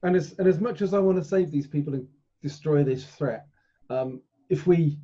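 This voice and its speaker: A man's voice: a little high, normal speed, dark tone, husky. A man playing a tabletop game, discussing the situation casually on a dull, muffled recording.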